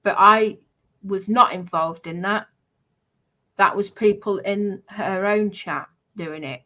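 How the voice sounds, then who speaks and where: A woman casually explaining herself in a defensive, halting way. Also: mid-range voice, sharp tone.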